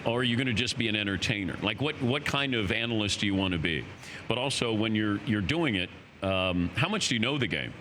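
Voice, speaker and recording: A man hosting a talk show, asking questions in a brisk, direct, joking way. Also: fairly low pitch, expressive, pushed and bright, a little hiss or hum. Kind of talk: interview questions, casual banter.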